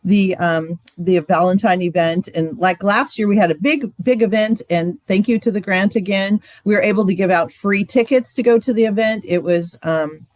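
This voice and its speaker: A woman's voice: medium pitch, moderate pace, hardly any pauses, no echo. A middle-aged woman giving a casual, chatty training talk with lots of fillers. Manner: chatty, loose, frequent fillers. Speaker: ministry trainer.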